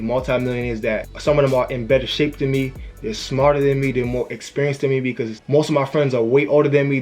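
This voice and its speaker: A young man giving an earnest, casual motivational talk to camera as a YouTuber. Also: medium pitch. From family from Haiti, raised in the US. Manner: earnest, conversational, frequent "you know what I'm saying".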